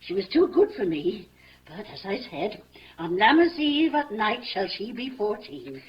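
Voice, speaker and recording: Swooping, garrulous old nurse. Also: female voice, medium pitch, very animated, measured pace. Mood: rambling, reminiscing. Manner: chatty, rambling, exclamatory. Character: garrulous, bawdy old female nurse, fond and rambling. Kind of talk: 1950s radio Shakespeare acting. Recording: muffled old noisy radio recording.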